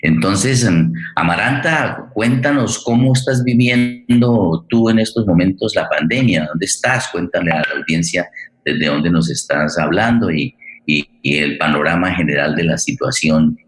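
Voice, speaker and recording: A man with an extremely low voice hosts a radio programme. He talks in long, formal, courteous sentences, often pausing and restarting as he searches for the next phrase. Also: poor audio. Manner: halting, wordy, formal and courteous. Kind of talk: unscripted, welcoming introduction of a guest and play.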